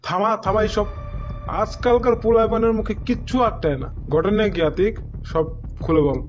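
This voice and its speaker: A man's voice: sits high, lively intonation, moderate pace, highs cut off, over music. A man playing a stern, scolding father in a cartoon.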